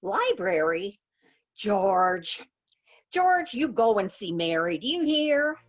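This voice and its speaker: A woman playing a gently nagging, coaxing small-town mother in an old-time radio play. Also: mid-range voice, sing-song, slow. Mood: insistent. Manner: coaxing, direct.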